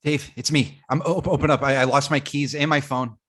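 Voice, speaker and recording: A man playing a flustered, defensive young werewolf, halting and stammering, in a comedy script reading. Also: medium pitch, steady tone, fairly bright tone, natural voice. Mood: panicked pleading. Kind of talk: comedic script table read.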